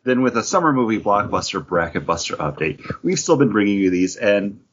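A man's voice: lowish voice, very animated, fairly quick, dull audio, a little hiss or hum. A man hosting a podcast in casual, chatty talk with friends. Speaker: podcast host. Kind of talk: casual panel chat about beer and geek news.

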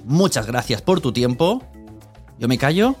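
A man's voice: medium pitch, big pitch swings, a little slow, darkish tone, faint music behind. A man with an accent from Spain talks casually and directly to the listener as a podcast host. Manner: chatty, direct address to listener.

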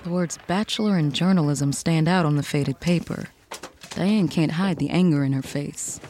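A woman's voice: low voice, moderate pace, dark tone, a little hiss or hum. A woman reading scripted, dramatic story narration for a fiction podcast.

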